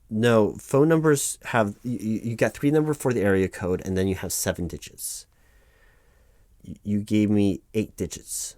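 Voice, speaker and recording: A man playing a patient, officious human resources officer, haltingly reading out form fields in a one-sided ASMR roleplay. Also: lowish voice, darkish tone, dry recording, studio quality, lightly acted. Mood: patiently correcting. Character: officious, patient male HR officer doing paperwork. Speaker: human resources interviewer filling out forms.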